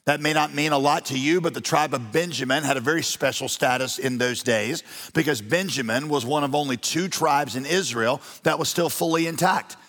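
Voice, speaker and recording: A man preaching a sermon as pastor, animated and folksy with touches of humor. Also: a little high, moderate pace, hardly any pauses. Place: large church auditorium. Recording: long reverb of a church hall.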